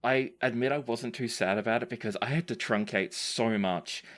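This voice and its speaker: A man's voice: mid-range voice, normal speed, a bit bright. A man hosting a casual question-and-answer chat as game master, speaking in a sincere, appreciative way. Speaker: podcast game master and Q&A host.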